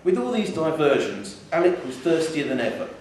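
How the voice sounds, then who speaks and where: Man, measured wry story narration, very reverberant theatre, distant mic, faint hiss. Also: a little high, sing-song, darkish tone. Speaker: short story reader. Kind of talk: live scripted story reading, narration. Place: theatre, live reading.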